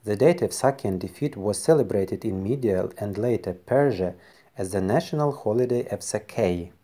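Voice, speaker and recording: A Ukrainian man with a Ukrainian accent, speaking English as a second language and narrating a history video carefully and deliberately. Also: on the low side, mellow. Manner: careful, deliberate non-native delivery.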